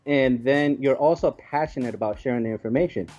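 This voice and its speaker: Mellow-voiced man explaining in an interview. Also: mid-range voice, brisk, lo-fi sound, faint music behind. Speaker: music conference organizer, interview guest. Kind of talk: casual explanatory interview talk.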